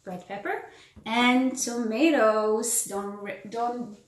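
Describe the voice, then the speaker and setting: A woman with an Israeli accent talks through a cooking demo in unhurried, slightly broken English, pausing for ums and reading viewer comments aloud. Her voice sits a little high. She's in an echoey home kitchen with faint pots and dishes in the background. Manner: chatty, reads and answers viewer comments, frequent ums. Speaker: tour educator hosting a live cooking stream. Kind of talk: casual live cooking demo.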